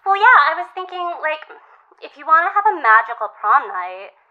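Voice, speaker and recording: A woman playing an earnest, boy-crazy sixteen-year-old high school girl in a comedy call-in, chatting casually with plenty of filler. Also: very animated, moderate pace. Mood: eager, determined. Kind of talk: improvised comedy call-in interview. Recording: dull, lo-fi sound.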